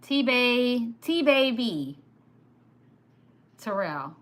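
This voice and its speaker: A woman from Sudan whose first language was Arabic, hosting a casual live stream, chatty and quick to laugh while reading comments. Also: on the high side, big pitch swings, a bit bright. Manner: chatty, laughs often, teasing. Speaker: livestream host.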